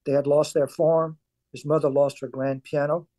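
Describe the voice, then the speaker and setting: A man's voice: on the high side, little pitch movement, normal speed, dark tone. An older man from South Louisiana with a Cajun accent, telling his story earnestly and emphatically as a guest in a radio interview. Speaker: author and former weightlifter, guest.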